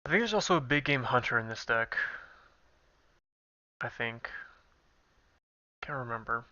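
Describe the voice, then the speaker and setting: A man's voice: lively intonation, measured pace, sharp tone, no echo. A young man with a stuffy nose, casually thinking aloud while commentating a card game. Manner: thinking aloud, low-key. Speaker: gameplay commentator. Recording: dull lo-fi recording.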